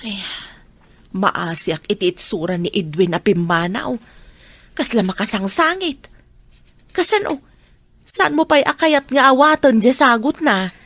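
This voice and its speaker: A woman's voice: on the high side, animated, a little slow, pauses often, fairly bright tone, heavily acted. An actress with an Ilocano accent playing a worried, nagging middle-aged mother in a radio drama, pleading and fretful.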